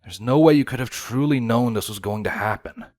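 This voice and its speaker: A man voicing a steady, reassuring male pony guard in a fantasy story reading. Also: mid-range voice, brisk, no room sound, clean studio sound, lightly acted.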